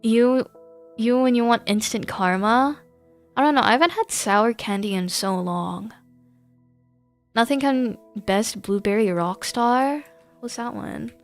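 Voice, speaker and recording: A woman's voice: fairly high pitch, unhurried. Chatty woman livestreamer with music behind. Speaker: live streamer. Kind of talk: casual gaming livestream chat. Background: background music.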